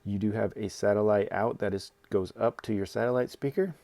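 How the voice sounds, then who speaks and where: A man with a lowish, fry-heavy voice presents equipment in a casual review style. He explains step by step in plain, informal phrasing, often repeating himself. The sound is dry and close, with little room in it. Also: fairly even intonation, a little fast, mellow, no echo. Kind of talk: casual product demonstration.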